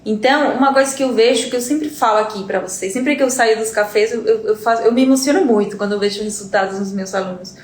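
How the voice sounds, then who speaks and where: Uruguayan woman, native Spanish speaker, higher voice, earnest live lesson, echoey room. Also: fast talker, hardly any pauses. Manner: earnest, repeats words, checks in with "entende?". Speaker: Spanish teacher. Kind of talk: live-stream lesson talk.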